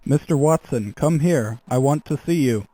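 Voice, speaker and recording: A man recites a short line in a mellow, dark tone at a middle pitch. The voice is dry and processed with a lo-fi telephone effect. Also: moderate pace, no room sound. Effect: lo-fi telephone filter being built up. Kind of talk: short recited test phrase.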